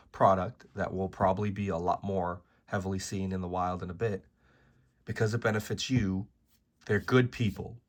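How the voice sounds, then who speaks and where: A man with a very deep, hoarse voice, rough from being sick and having lost it days ago. He rants straight to camera for his card-game channel, blunt and exasperated, cursing freely as he argues his point. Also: a bit bright. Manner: blunt, profane, exasperated ranting. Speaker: trading card game YouTuber. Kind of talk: ranting video commentary.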